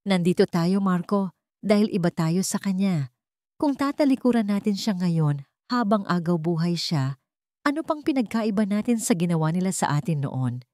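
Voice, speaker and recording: A woman's voice: on the low side, animated, normal speed, a little mellow, no echo, close to an everyday voice. Forgiving mother played by a woman, Filipino accent, earnest, direct, tender but steadfast, radio-drama role, dry sound. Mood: firm, tender.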